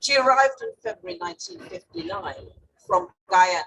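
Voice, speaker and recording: A woman's voice: fairly high pitch, very animated, moderate pace, vocal fry, a bit bright. A woman hosting a medal ceremony, introducing each recipient with upbeat praise. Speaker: ceremony host. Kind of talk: ceremony announcing. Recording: distant mic, faint noise.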